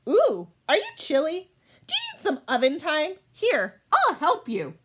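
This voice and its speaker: A woman's voice: high voice, huge pitch swings, unhurried, a bit bright, a little hiss or hum, exaggerated voice. A woman voicing a crafty, theatrical old witch with mock sweetness in a storybook fairy tale. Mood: scheming. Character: scheming, mock-sweet old witch who cooks children.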